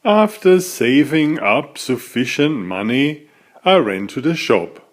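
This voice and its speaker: A man narrates a children's picture book in a deliberate, dramatic way. His mid-pitched voice swings widely up and down. He takes his time with every sentence. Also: animated. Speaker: children's book author reading aloud. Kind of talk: expressive scripted storybook narration.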